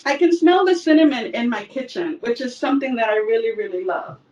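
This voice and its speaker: High-pitched woman from el salvador chatting thru a cooking demo at home, lots of ums, sounds a bit far from the mic. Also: expressive, a little fast, warm tone, dull audio. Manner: chatty, frequent fillers. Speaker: cooking instructor. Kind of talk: casual cooking demonstration. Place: home kitchen.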